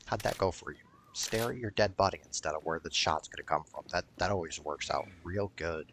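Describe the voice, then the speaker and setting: A man's voice: deep voice, brisk, fairly bright tone, slight background noise. A man giving casual, rambling gameplay commentary in a blunt, sweary way. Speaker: gaming video creator.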